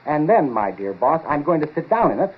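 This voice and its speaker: Through a thin, phone-like 1940s radio recording comes an excitable lab researcher. His voice is fairly high and husky, with lively swings in pitch. He speaks with earnest, urgent insistence. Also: brisk. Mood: earnest excitement. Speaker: supporting actor. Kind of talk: 1940s radio drama acting. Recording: tinny, muffled-detail 1940s radio recording.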